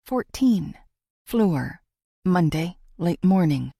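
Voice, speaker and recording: Woman, audiobook narrator, scripted thriller read, lively varied intonation, close dry recording. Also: on the low side, slow, vocal fry, breathy edge, no room sound.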